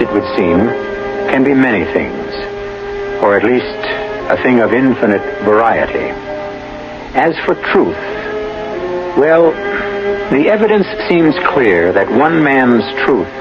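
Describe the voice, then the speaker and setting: A man hosting a radio mystery with gravelly, theatrical narration, musing and pausing for drama. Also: mid-range voice, big pitch swings. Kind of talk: scripted theatrical philosophical narration. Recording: dull old radio recording. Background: music.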